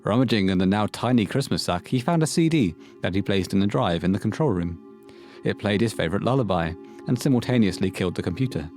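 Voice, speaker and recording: A man's voice: on the low side, animated, fast, darkish tone, soft background music. A man reading scripted narration for a horror audio play as its storyteller.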